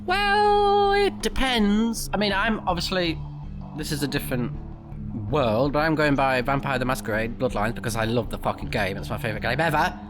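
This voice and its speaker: Expressive man playing a game over music. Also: a little high, moderate pace. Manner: blunt, sweary, expressive. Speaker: let's play streamer. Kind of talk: casual gameplay commentary with read-aloud game text.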